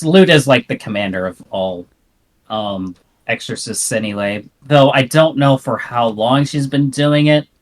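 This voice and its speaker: A man chats casually with friends at a middle pitch. He rambles, hedges his opinions, and leans on filler words like "like" and "you know". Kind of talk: casual show discussion.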